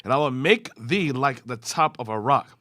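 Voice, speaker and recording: A man reading scripture aloud in a class, with a steady, formal reading cadence. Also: mid-range voice, moderate pace.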